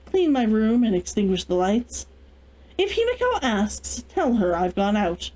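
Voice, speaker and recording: A woman's voice: mid-range voice, sing-song, a little slow, warm tone, low-quality recording. A woman voicing a proud, stubborn young heiress with indignant, clipped retorts in a storybook reading. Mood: commanding.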